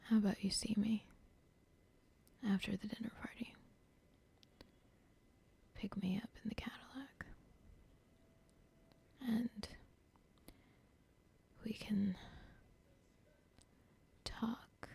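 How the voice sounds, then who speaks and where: A woman's voice: medium pitch, steady tone, very slow, vocal fry, pauses often, dark tone, breathy edge, heavily acted. A woman whispering an ASMR roleplay as a cold, controlling female cartel boss who talks in a menacing, dismissive way. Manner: whispering throughout.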